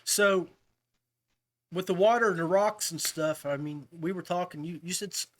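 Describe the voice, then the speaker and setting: A man's voice: high-pitched, moderate pace, a bit bright. A man hosting a casual fishing podcast, talking to guests in a chummy way, like old buddies. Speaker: podcast host.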